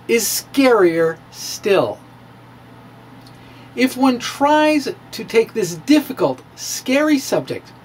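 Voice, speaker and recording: Theatrical man, slow and swooping delivery. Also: high-pitched, a little hiss or hum, low-quality recording. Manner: theatrical, drawn-out emphasis with pauses. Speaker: science blogger giving a dramatic reading. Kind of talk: theatrical reading of a popular science blog. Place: small room with bookshelves. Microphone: handheld-style microphone on a stand, close in front.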